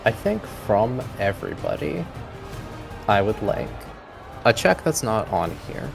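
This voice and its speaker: Low-voiced man running a tabletop game. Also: big pitch swings, a little slow, creaky, music in the background. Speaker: game master. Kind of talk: improvised narration of a tabletop game.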